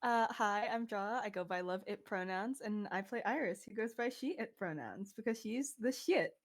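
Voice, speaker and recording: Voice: mid-range voice, normal speed. Giggly rambling player on a call. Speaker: tabletop game player. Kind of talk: casual chat.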